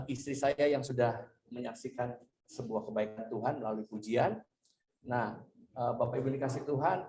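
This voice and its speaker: A man's voice: fairly high pitch, a little mellow. A man from Indonesia, preaching a charismatic sermon in an exhortative way, repeating phrases and calling on listeners to respond. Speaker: guest preacher, pastor. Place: church service room, before a congregation. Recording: echoey room, distant microphone.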